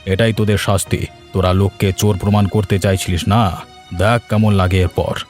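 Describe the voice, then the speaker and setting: A man's voice: deep voice, flat delivery, moderate pace, soft background music, lightly acted. A man voicing a blunt, authoritative village headman in a cartoon. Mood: stern, scolding. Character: stern, bossy male village headman who loves food. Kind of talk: Bengali cartoon voice acting.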